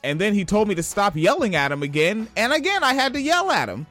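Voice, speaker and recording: A young man from Washington State talks in a high, light voice, reading odd news stories and breaking off into rambling, profane asides. He laughs often and keeps things loose and casual. Faint music sits in the background. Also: very animated, brisk, pushed and bright. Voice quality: high-pitched voice. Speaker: podcast host.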